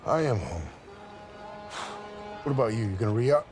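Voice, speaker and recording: An actor playing a gruff, hardened, wry army sergeant in a war game. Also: male voice, mid-range voice, expressive, moderate pace, darkish tone, lightly acted. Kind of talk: dramatic game acting. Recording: music behind the voice.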